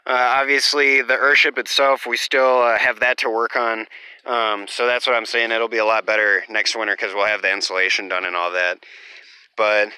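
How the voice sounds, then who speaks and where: A young man walking through his half-built homestead, talking casually and rambling with many fillers, in a voice he calls monotone. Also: medium pitch, clean tone, no echo. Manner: rambling, lots of fillers, self-described monotone. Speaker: homesteader vlogger. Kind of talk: casual off-grid build vlog. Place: half-built earthship and outdoor rooftop.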